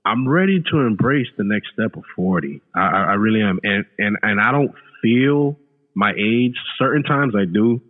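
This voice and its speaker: A man's voice: fairly low pitch, lively intonation, moderate pace. Late-thirties man on a tinny echoing line. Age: late 30s. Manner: repeats words, says "you know" a lot. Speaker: podcast guest. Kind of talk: casual chat. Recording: phone-like remote line with long reverb.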